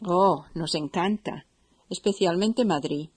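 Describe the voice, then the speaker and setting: A woman with a Spain Spanish accent playing a polite, friendly Spanish woman in a language-course dialogue, speaking slowly and clearly for learners. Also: on the low side, darkish tone. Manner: slow and clearly spoken for learners.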